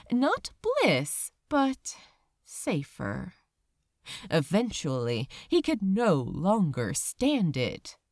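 A woman narrating a story from a script, with expressive, dramatic phrasing and deliberate pauses. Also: mid-range voice, dry recording, studio quality. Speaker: audiobook-style reader of fan fiction.

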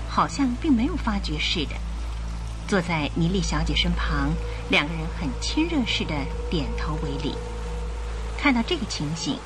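A woman narrating a scripted radio drama as the storyteller. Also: lowish voice. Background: music.

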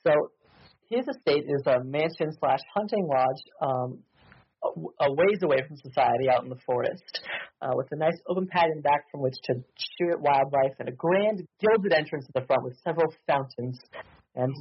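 A man's voice: a little high, moderate pace, slightly rough, dull audio. A man narrating as game master over a voice call, hesitant with many ums and restarts.

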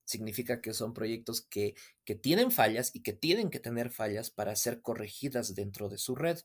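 A man who is a podcast guest, explaining things at a measured pace with frequent "eh" fillers. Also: mid-range voice, expressive. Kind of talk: explaining in a casual interview.

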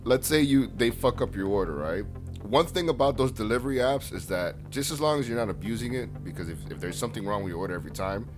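Low-voiced podcast guy, casual, cussing and laughing a lot, faint music under him. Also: fast. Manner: laughs often, swears, animated retelling. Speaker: podcast co-host. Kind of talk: casual chat.

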